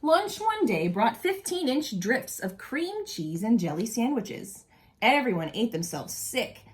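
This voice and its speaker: Animated woman reading a picture book aloud. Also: a little high. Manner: animated storytelling with explanatory asides and questions to young listeners. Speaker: early childhood educator reading to children.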